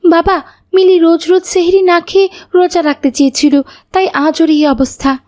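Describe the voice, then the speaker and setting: A woman's voice: very high voice, steady tone, normal speed, darkish tone. A woman voicing a sensible, caring older sister in a cartoon, earnest and admonishing. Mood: concerned, chiding.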